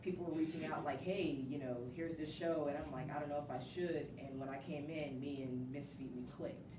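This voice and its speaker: A woman's voice: steady tone, a little fast. A woman chatting casually as an interview guest, telling her story with acted-out quotes. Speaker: stage cast member as interview guest. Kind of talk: casual chat. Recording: roomy, distant mic, noisy with rumble.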